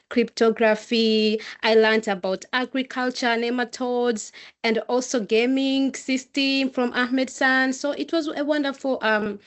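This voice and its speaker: A woman from Tanzania with a Tanzanian accent, giving a semi-formal spoken presentation as an intern. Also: a little high. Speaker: intern, energy engineer.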